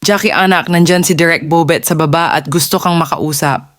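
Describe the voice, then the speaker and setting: A woman playing a soft-spoken mother in an audio drama, gentle but quietly firm. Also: sits low, fairly quick, no echo, studio quality, lightly acted.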